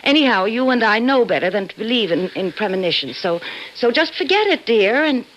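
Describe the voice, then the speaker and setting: Reassuring young wife, animated and coaxing, vintage radio play, dull sound with faint hiss. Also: female voice, a little high, brisk. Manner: coaxing, hesitant repetitions. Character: fond, reassuring young wife, gently dismissive of her husband's fears. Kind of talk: old-time radio drama acting.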